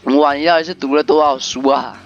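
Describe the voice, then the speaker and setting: A man's voice: a little high, steady tone, a little fast. Dull-sounding male game commentator, faint music. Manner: reactive, exclaiming. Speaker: gaming video commentator. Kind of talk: casual playthrough commentary, translating and narrating aloud.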